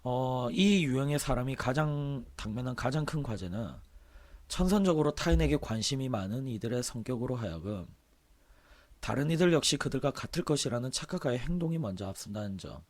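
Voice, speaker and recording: A man with a hoarse, sore-throated voice, chatting casually on a livestream as he reads aloud and comments offhandedly. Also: mid-range voice, animated, brisk. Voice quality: hoarse, husky. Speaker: game streamer.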